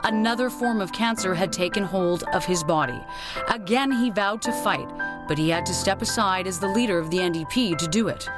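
A woman narrates a scripted news obituary. Her voice sits at a middle pitch, with music clearly playing underneath. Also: fairly quick. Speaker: news reporter. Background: prominent background music.

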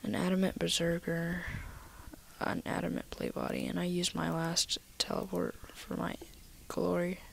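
A woman casually walking through her game inventory in a soft, half-whispered voice, a little nasal from a cold, recorded on a computer mic. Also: lowish voice, completely flat delivery, moderate pace, vocal fry, a little hiss or hum. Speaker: gaming video maker.

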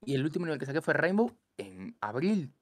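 A man's voice: on the high side, big pitch swings, brisk. A man born in Cuba, a guest on a casual podcast, rambling and dropping slang like loco and brother. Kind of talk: casual chat.

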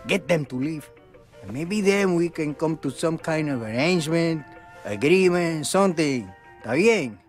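A man's voice: fairly high pitch, expressive, normal speed, soft background music, natural voice. A man playing a nervous, fussy, greedy donut shop owner in a video game. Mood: anxious, hushed. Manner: fussy, hushing, pleading. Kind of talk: video game cutscene acting.

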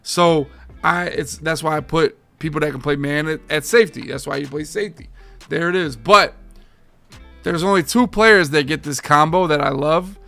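Hoarse, gravelly gamer guy, slangy. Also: on the high side, moderate pace, faint music behind. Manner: energetic, slangy, addresses viewers as boys and guys. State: losing his voice, a bit hoarse. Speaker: gaming YouTuber and streamer. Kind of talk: casual gaming talk to viewers. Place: home game room with framed pictures.

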